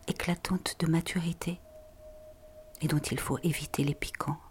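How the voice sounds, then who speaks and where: A woman narrator reading a poetic, introspective literary monologue in a hushed, breathy way. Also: lowish voice, a little hiss or hum.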